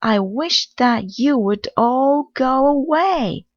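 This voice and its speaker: A woman voicing a small, tired mouse who keeps pleading for quiet. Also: high-pitched, animated, slow pace, no echo, lo-fi sound, voice pushed up, heavily acted.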